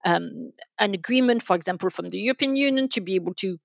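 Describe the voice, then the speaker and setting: A woman with a mid-pitched voice answers as a podcast guest, explaining things step by step. She restarts and repeats words as she works through her answers. The sound is dry and somewhat dull. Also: animated, quick pace, vocal fry, dry recording. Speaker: podcast guest, enterprise sales manager. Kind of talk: interview answers, explanatory talk.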